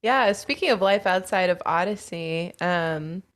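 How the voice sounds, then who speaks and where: Lower-voiced woman interviewer. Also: measured pace, crowd noise. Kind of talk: casual interview.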